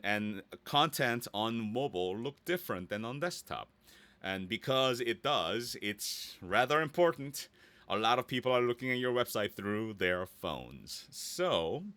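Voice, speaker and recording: Bright-voiced man with lively, swinging pitch. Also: clear, resonant tone, no echo. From Korea or United States. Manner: playful, often checks in with "right?". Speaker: voice actor giving a website tutorial. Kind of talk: casual screen-share tutorial, thinking aloud.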